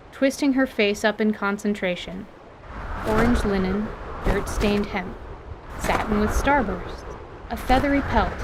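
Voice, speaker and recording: A woman's voice: medium pitch, background noise. A woman giving scripted, dramatic narration of a fantasy story as its narrator.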